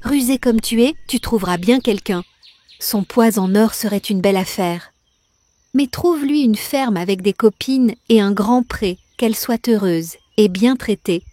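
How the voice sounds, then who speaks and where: A woman playing a bossy, easily exasperated farm mother in a children's cartoon fairy tale, decisive and expressive. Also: mid-range voice, a little slow, no room sound, lightly acted. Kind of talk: children's cartoon storybook acting.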